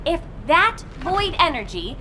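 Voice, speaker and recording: Very high, perky, cocky young woman. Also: sing-song, a little slow, sharp tone, slight background noise, exaggerated voice. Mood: teasing, explaining. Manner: playful, smug, exclamatory. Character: perky, cocky young woman calling herself Professor Bunny. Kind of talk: animated video game voice acting.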